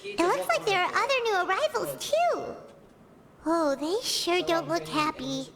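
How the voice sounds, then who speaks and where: A woman voicing a small, childlike fairy companion in an anime game, squeaky, excitable and easily flustered, exclaiming as she goes. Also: measured pace, crowd noise. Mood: puzzled. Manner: exclamatory, talks of self in third person. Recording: game music behind.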